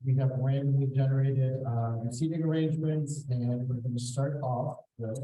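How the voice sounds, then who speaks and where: Halting man moderating a forum. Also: medium pitch, fairly even intonation, warm tone, a little hiss or hum. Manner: halting, with frequent uh fillers. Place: community meeting room. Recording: echoey room.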